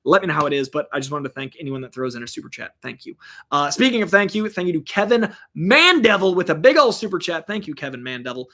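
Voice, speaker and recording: A man's voice: fairly high pitch, fast. Chatty rambling male livestream host. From born in Virginia, raised in Massachusetts. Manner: rambling, animated, lots of fillers and restarts. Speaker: host of a comics news livestream. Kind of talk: casual livestream chat.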